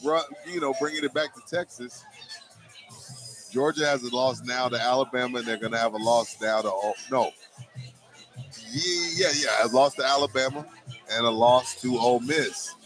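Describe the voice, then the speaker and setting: A man's voice: medium pitch, moderate pace, over music, low-quality recording. A man co-hosting a casual sports podcast, talking in slang and mostly giving short agreeing replies. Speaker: podcast co-host.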